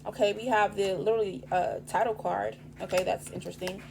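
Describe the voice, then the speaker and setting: Fast-talking woman, raspy, over a noisy hum. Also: medium pitch, fairly even intonation. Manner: rambling, profane, streetwise slang. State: raspy voice this morning. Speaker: tarot reader. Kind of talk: casual tarot reading.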